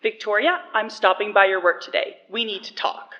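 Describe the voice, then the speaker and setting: An actress playing a tense, determined adult daughter speaking curtly over a phone in a radio play. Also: female voice, mid-range voice, moderate pace, pushed and bright. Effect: phone call.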